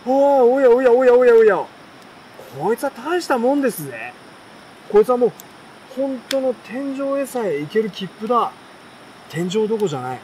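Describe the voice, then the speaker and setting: A man's voice: very high-pitched, moderate pace, far from the mic, pitched above the performer's own voice, rough recording. A man voicing an astonished, folksy, gushing birdcatcher from a storybook. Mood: amazed, gushing. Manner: gushing, repetitive exclamations, rustic wording. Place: outdoors at a campsite by a fire. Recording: steady outdoor campfire, insect and stream noise.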